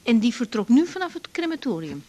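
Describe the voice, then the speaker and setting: A young woman doctor speaks in a fairly high voice. She is hesitant and understated, with dry, matter-of-fact delivery in a naturalistic radio play. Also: big pitch swings, brisk, dark tone. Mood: wry, curious. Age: young adult. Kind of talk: naturalistic 1980s radio drama acting.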